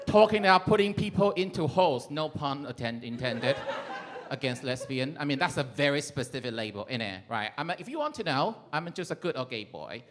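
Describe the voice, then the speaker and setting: A middle-aged man from Taiwan with a Taiwanese accent, performing a playful stand-up comedy set, breaking into shouts and laughter. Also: on the high side, expressive, brisk, slight background noise.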